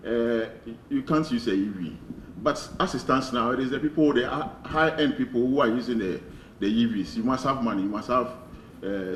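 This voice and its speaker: A man making halting, earnest remarks from the floor at a launch event, with restarts. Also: medium pitch, slight background noise. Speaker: audience speaker at a launch event. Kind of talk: spontaneous public remarks. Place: event hall. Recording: echoey hall from far off.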